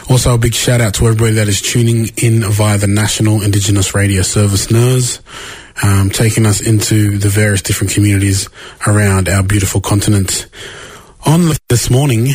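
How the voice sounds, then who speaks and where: A man with an Australian accent hosting a radio interview, relaxed and conversational with fillers. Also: on the low side, husky, no room sound, low-quality recording. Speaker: radio host.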